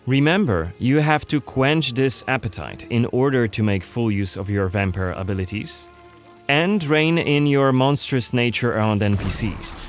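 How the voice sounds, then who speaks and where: A man's voice: mid-range voice, animated, normal speed, soft background music. A man narrating a scripted gameplay showcase as its presenter.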